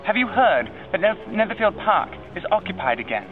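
A man doing an excitable old-fashioned mother fretting over her daughters, high and animated, muffled audio and music. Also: a little fast. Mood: excited. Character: fretful, excitable middle-aged gentlewoman, mother of daughters. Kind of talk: audiobook reading. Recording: lo-fi with music behind.

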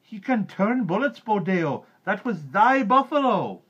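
A man voicing hostile, superstitious villagers in a storybook, shouting shrilly. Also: high voice, big pitch swings, a little slow, slightly rough, highs cut off, pitched above the performer's own voice, exaggerated voice. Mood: alarmed, hostile. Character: angry mob of superstitious villagers and priest.

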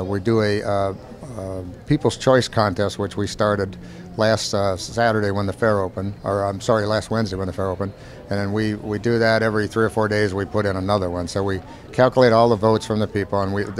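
Man, 60s, American, low flat delivery, matter-of-fact exhibit organizer giving an interview. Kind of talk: unscripted interview talk. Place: indoor exhibit hall at a county fair. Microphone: handheld microphone.

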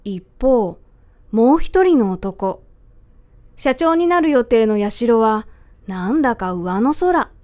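A woman's voice: fairly high pitch, expressive, slow, darkish tone. A woman reading scripted narration for a radio drama.

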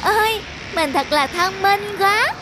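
Very high-pitched, whiny rice plant. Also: female voice, animated, slow, noisy background, full character voice. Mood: smug. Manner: dramatic complaining. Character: vain, whiny, fussy female young rice plant. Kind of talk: cartoon folk tale acting. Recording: music under the voice.

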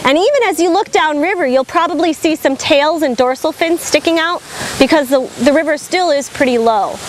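Woman guide with a cold, high voice. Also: a little hiss or hum. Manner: informative, steady, with frequent ums. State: has a cold, losing her voice. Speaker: naturalist tour guide. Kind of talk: outdoor guided tour talk. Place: outdoors by a river facility with a crowd. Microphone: far camera, no visible mic.

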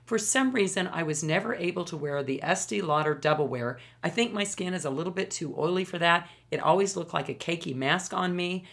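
A woman in her fifties, hoarse from a cold, chatting enthusiastically to camera while reviewing beauty products at home. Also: on the low side, fairly quick, hardly any pauses, fairly bright tone. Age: 50s. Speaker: beauty vlogger. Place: home studio, indoors.

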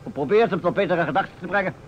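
An actor playing a pompous, blustering older small-town mayor, easily indignant, in a Dutch radio drama. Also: male voice, high-pitched, very fast, close to an everyday voice. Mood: aggrieved. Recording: muffled vintage radio recording with noise.

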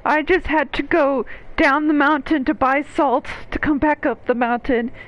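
A woman's voice: high voice, fairly even intonation, moderate pace. A woman chatting casually and jokingly, recorded on a dull lo-fi microphone. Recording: dull lo-fi mic.

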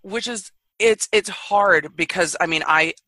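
A woman in her thirties with a low voice, telling her story openly and frankly as a podcast guest. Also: a little slow, no echo. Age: 30s. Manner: open, frank, lively. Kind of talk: candid conversational storytelling.

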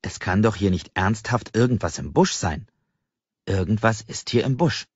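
A man with a fairly low voice narrates literary fiction. His delivery is expressive storytelling. The sound is dry, without room echo, and slightly dull. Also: normal speed, slightly rough. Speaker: audiobook narrator.

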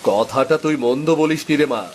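A man's voice: a little high, a little fast, a little mellow, slight background noise, close to an everyday voice. A man playing a humble, weary village father in a Bengali cartoon moral story, speaking in an earnest, plaintive way. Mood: sorrowful.

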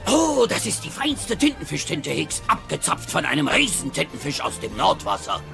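Effusive, creaky-voiced travelling merchant. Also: male voice, fairly high pitch, huge pitch swings, moderate pace, over music, full character voice. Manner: grandiloquent, flowery sales patter. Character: effusive travelling merchant, flowery, theatrical salesman. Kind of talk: children's audio drama acting.

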